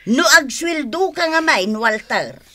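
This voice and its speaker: A mother in a radio drama, chiding her grown son. The voice is high and bright, with a sensible, matter-of-fact way of scolding. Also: animated, unhurried, exaggerated voice.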